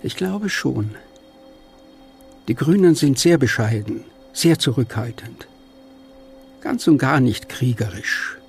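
An actor playing a gentle, hesitant, dreamy man with a hoarse, creaky voice in a radio drama. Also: medium pitch, lively intonation, takes it slowly, pauses often, faint music behind, heavily acted.

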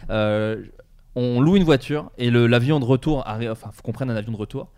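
A man hosting a podcast, telling stories in a casual, comedic and animated way and acting out bits of dialogue. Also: medium pitch, fairly quick, clear, resonant tone. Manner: animated, informal, acts out dialogue. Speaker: podcast host.

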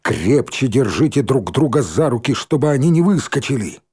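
A man's voice: medium pitch, big pitch swings, moderate pace, darkish tone, slightly rough. A man voicing a bossy, merciless schoolgirl ringleader in an audiobook, with a curt, cold, commanding delivery.

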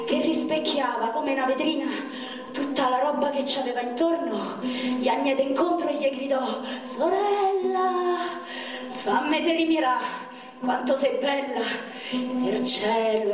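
A dreamy Roman storyteller acted by a woman recites a stage fable in verse, slowly and lyrically. The voice is fairly high and distant, swimming in echo under loud music. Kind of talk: slow theatrical poetry recitation.

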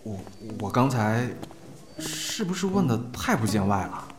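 A shy, smitten young man speaks with lively swings in pitch over clear background music. He sounds boyish and earnest, in the natural style of a modern audio drama. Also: a little slow. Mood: bashful, earnest.

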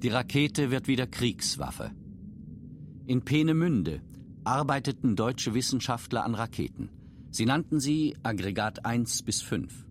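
A male documentary narrator with a very lively, mid-pitched delivery reads emphatic, declarative scripted lines. There is faint noise behind the voice.

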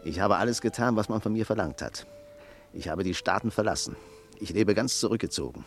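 A wily, self-satisfied man in a radio play who trusts nobody. The voice is deep and confiding, speaking deliberately, with a little music behind it. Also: expressive. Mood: defensive. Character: shrewd, self-satisfied old hand, suspicious man in exile.

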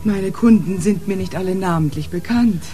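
A woman acting a cool, composed nightclub boss in a crime radio play. Her mellow, medium-pitched voice stays guarded and courteous, with a faintly mocking edge. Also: normal speed, natural voice. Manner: smooth, guarded politeness. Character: poised, cool, slightly mocking female nightclub proprietor.

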